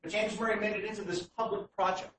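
A man's voice: sits high, steady tone, quick pace, fairly bright tone, recorded from a distance. Canadian man lecturing in an echoey hall. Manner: hesitant, many fillers and restarts. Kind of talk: public lecture without slides. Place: large hall. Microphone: distant room mic. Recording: very echoey hall, heard from afar, faint noise.

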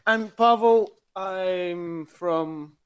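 A man introducing himself quickly, his words trailing off. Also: high voice, a loud crowd. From Moscow, Russia. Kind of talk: group self-introduction. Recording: noisy room full of people.

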